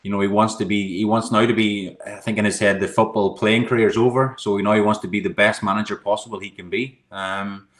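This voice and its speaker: A man's voice: on the low side, fairly even intonation, fast, few pauses. A middle-aged Irish man, a guest and goalkeeping coach, answering questions on a video call from a room at home. He talks casually and rambles, repeating words and saying "you know" often. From Ireland.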